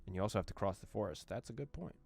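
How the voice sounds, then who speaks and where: A man's voice: on the low side, racing, gravelly, darkish tone. A young man reading a visual novel aloud and translating its lines, with playful jokes and frequent laughter. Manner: playful, joking, laughs often. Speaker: gaming YouTuber.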